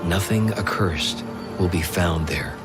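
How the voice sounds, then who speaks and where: A man giving a solemn, measured, dramatic narration of scripture as the narrator of an audio drama. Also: deep voice, loud music behind the voice. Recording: studio voice under loud orchestral score.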